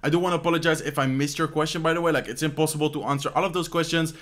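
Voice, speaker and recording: Young Dutch-accented man, slightly high voice, relaxed rambling Q&A host, second-language English, home desk mic. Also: rapid-fire. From Netherlands. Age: young adult. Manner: rambling, many "like" fillers and "how you say this" searches. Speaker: esports player answering fan questions. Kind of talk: casual Q&A chat. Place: bedroom at home, indoors.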